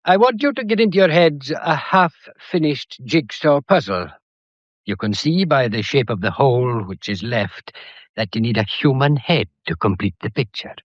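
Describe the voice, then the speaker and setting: An actor playing a shrewd, methodical police detective in a British period mystery drama, courteous and lecturing, with a faintly theatrical delivery. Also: male voice, mid-range voice, expressive, moderate pace, no echo, dull audio, lightly acted. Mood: measured, lecturing. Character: shrewd, methodical male police detective laying out a murder case.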